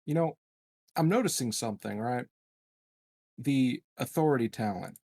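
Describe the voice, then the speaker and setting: A man with a husky, creaky voice of middling pitch chats casually as a tabletop game player. The recording is very dry. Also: animated, moderate pace, darkish tone, no echo.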